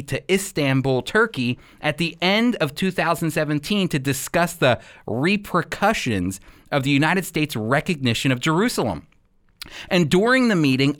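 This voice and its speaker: A man's voice: a little high, fairly bright tone. A man delivering prepared, persuasive commentary as a program host, emphatic and using rhetorical repetition.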